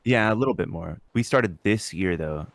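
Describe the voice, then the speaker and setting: A man's voice: low voice, lively intonation, normal speed, dry recording. A man chatting casually and with slang as a podcast co-host.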